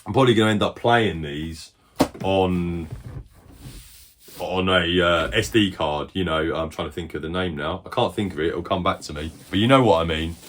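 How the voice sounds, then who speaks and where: A middle-aged man casually showing off his game collection, rambling and correcting himself as he goes, a little hoarse. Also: sits low, moderate pace, fairly bright tone, slight background noise. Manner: rambling, chatty, self-correcting. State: losing his voice. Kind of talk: casual collection show-and-tell.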